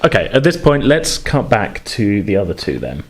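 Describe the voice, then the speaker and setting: A man's voice: on the low side, huge pitch swings, moderate pace, slight background noise. A man narrates as game master of a tabletop game, in a rambling, self-correcting manner.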